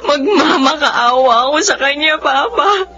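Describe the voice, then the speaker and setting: A woman's voice: high-pitched, lively intonation, unhurried, sharp tone, full character voice. A woman playing a devoted, tearful young daughter in a radio soap, pleading earnestly and melodramatically. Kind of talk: radio soap drama acting. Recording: dull audio under clear music.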